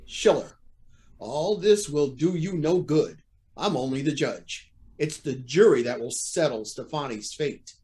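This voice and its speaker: A man's voice: fairly high pitch, unhurried, a little mellow, close to an everyday voice. A man playing a proud, indignant judge who feels cornered, in an old-time radio drama with a formal, blustering manner. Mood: defiant.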